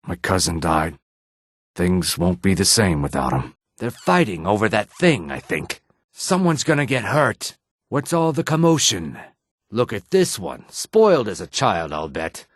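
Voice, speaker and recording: Very deep, raspy lizard-man. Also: dry recording, studio quality, heavily acted. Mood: grieving, then wary, irritated. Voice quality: raspy, husky. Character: adult male reptilian Argonian townsman, gruff and shifty. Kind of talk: video game character dialogue.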